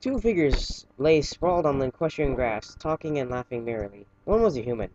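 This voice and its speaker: A man casually reading fan fiction aloud in a halting way, stumbling and correcting himself, with chatty asides. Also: mid-range voice, sing-song, a little mellow, muffled recording, a little hiss or hum.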